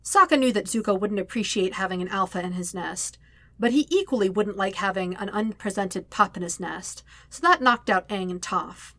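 A woman narrates fiction in an expressive audiobook style. Her voice is bright and mid-pitched, and the sound is very dry, with no room echo. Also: normal speed. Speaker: podfic reader. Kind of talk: expressive scripted story narration.

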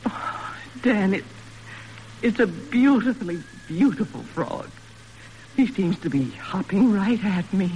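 An actress playing a worried, gentle farm mother in an earnest, expressive 1940s radio drama style. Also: medium pitch, slow pace, long pauses. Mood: wondering. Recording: noisy old radio broadcast recording.

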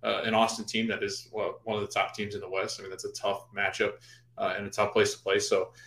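Lowish guy rambling about refs and games on a podcast, echoey room. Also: completely flat delivery, brisk, a bit bright. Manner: rambling, full of fillers and restarts. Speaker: podcast co-host. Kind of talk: casual sports chat. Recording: roomy echo.

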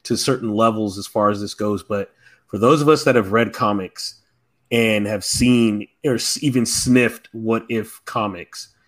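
A man's voice: fairly low pitch, fairly even intonation, measured pace, fairly bright tone. A man on a podcast panel, giving his opinions in casual review chat.

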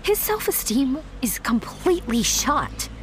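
A woman's voice: high-pitched, big pitch swings, unhurried, a little hiss or hum. A young woman playing a candid, skeptical theater girl in a naturalistic audio drama, conversational but pointed. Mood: frank, firm.

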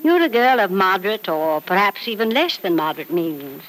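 A woman's voice: medium pitch, big pitch swings, normal speed, fairly bright tone, rough recording. An actress playing a frank, doting, wealthy elderly mother in an old radio soap, speaking with emphatic, theatrical affection. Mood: brisk, defiant. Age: older. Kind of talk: 1940s radio soap opera acting. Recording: old radio drama recording.

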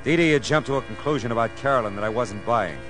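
An actor playing a weary, wry, hard-boiled private detective in a 1940s-style radio drama. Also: male voice, medium pitch, fast talker, over music, close to an everyday voice. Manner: wry, dry, world-weary. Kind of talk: 1940s radio drama acting, first-person narration. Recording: noisy vintage radio drama recording.

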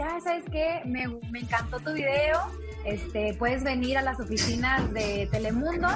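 A young woman with a Mexican accent recounts her story as a talk-show guest, slipping into the voices of the people she quotes. Her voice sits a little high, and music plays steadily underneath. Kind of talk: casual interview chat.

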